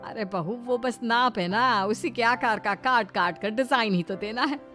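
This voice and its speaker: A woman's voice: fairly high pitch, measured pace, a bit bright. A woman voicing a humble, hard-working middle-aged mother-in-law in a Hindi cartoon story. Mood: pleased. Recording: music behind the voice.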